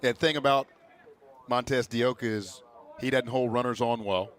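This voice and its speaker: An American man working as a baseball analyst. He speaks at a medium pitch in a conversational way, adding commentary between plays. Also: normal speed.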